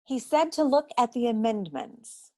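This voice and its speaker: An actress playing a brisk, know-it-all detective agency secretary in a noir comedy audio play, curt and dryly superior. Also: female voice, fairly high pitch, animated, dark tone. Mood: matter-of-fact, superior.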